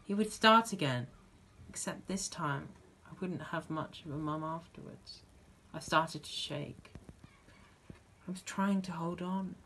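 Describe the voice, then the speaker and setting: An acting student playing a frightened, guilt-ridden young child in a dramatic stage monologue, speaking earnestly and naively in halting short sentences. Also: mid-range voice, a bit bright, a little hiss or hum. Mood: straining, desperate.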